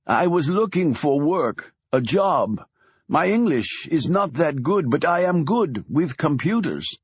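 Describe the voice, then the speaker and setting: A man voicing a timid, tearful Polish immigrant man who speaks halting broken English with a Polish accent, in an audiobook. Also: a little high, slightly rough, no room sound, dull audio, voice pushed up, heavily acted.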